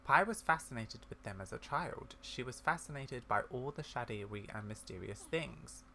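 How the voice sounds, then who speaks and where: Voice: mid-range voice, expressive, moderate pace, sharp tone, a little hiss or hum. A gamer voicing a kindly, scholarly older witch woman from a video game, in a genteel, musing manner. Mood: musing, grave. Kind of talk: video game dialogue read aloud.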